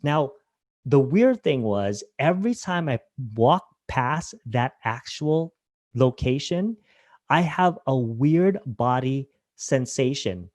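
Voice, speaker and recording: A man's voice: medium pitch, a little mellow, no echo. Dry-voiced man presenting a webinar. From Hawaii. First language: Filipino language. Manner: conversational, asks the audience questions.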